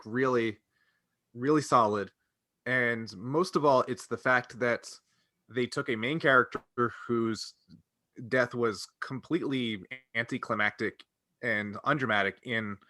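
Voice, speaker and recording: A man co-hosting a casual fan discussion, thinking aloud in a halting way and circling back over phrases. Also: mid-range voice, bright, forceful tone, no echo, studio quality. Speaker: podcast co-host.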